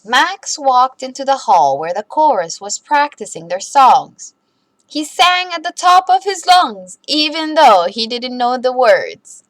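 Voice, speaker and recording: A woman reading a children's bedtime story aloud in an expressive, deliberate way for young listeners. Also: sits high, dry recording.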